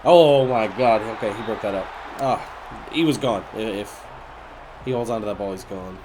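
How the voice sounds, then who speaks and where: A man with a raspy, nasal, congested voice giving casual commentary on a video game as host. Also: on the low side, moderate pace, background noise. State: congested after being sick.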